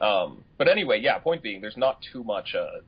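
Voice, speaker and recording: A man co-hosting a podcast in casual chat, with a slightly husky voice. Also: lowish voice, very animated, moderate pace. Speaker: podcast co-host. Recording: dull lo-fi podcast audio.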